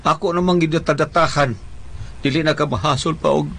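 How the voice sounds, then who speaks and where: A man playing a morose old father and patient with a Cebuano accent in a comic radio drama, with a creaky voice. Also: on the high side, fairly even intonation, a little fast. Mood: resigned.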